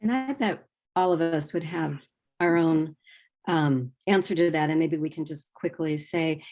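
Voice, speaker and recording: A woman's voice: fairly low pitch, darkish tone. A woman speaking informally as a panelist, sharing personal reflections. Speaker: writer, panelist.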